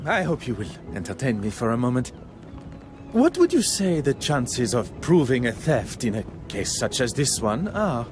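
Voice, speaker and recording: An actor playing a formal, courteous foreign detective with a French-speaking Belgian accent in a video game, precise and probing. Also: male voice, medium pitch, big pitch swings, moderate pace, a little mellow, faint music behind, close to an everyday voice. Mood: stern, probing. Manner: formal, precise, polite phrasing.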